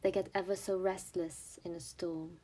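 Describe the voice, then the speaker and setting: A woman's voice: fairly low pitch, level tone, moderate pace, dark tone, slightly rough, soft background music. A woman acting as an ominous storybook narrator in an audio play, giving scripted dramatic narration. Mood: ominous, hushed. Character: ominous storybook narrator warning of a coming storm.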